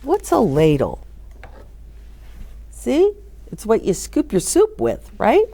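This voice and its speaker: Lively, unhurried woman reading a storybook. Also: fairly high pitch. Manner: animated, dramatic, emphatic storytelling. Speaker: children's story reader and host. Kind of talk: expressive picture-book read-aloud.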